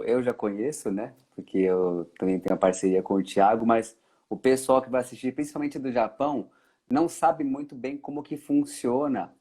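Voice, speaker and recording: A man's voice: mid-range voice, dark tone, slightly rough. A Brazilian man hosting a casual interview, asking his guest to explain things. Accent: Brazilian Portuguese. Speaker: interview host.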